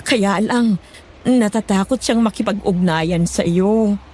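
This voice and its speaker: A woman's voice: medium pitch, slow, darkish tone. A woman playing a remorseful, fearful middle-aged aunt in a dramatized horror story, apologetic and pleading. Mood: grateful, wistful. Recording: music bed underneath.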